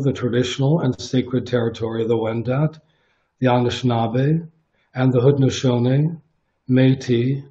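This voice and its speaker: Mellow-voiced Canadian man hosting. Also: medium pitch. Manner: measured, earnest, slightly halting when unscripted. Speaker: artistic producer and host. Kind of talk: formal introduction then conversational interview. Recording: dull lo-fi audio with faint music.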